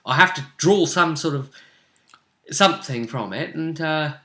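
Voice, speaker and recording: A man's voice: fairly high pitch, a little slow, bright, forceful tone. A man talking casually as game master of a tabletop role-playing game, chatty and fond of tag questions. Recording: echoey room.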